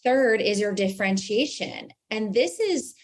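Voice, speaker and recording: An American woman with a medium-pitched voice talks as a guest in an interview. She explains like a coach, leaning on key words and putting rhetorical questions to her listener. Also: expressive, measured pace. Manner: emphatic, asks rhetorical questions. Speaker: guest, personal branding coach.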